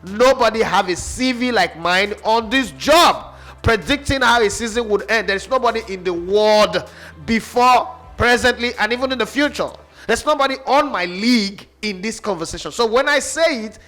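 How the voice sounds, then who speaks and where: A man's voice: extremely high pitch, bright, forceful tone. A man arguing football on a sports radio show, boastful and mocking, with faint music behind. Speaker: football pundit. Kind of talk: heated sports radio debate.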